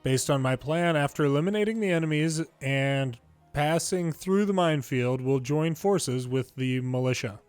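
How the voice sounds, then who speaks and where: A man's voice: fairly high pitch, moderate pace, a little mellow, clear, resonant tone. Man reading game dialogue over music. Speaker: video game commentator reading subtitles aloud. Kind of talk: dramatic read-aloud of game dialogue.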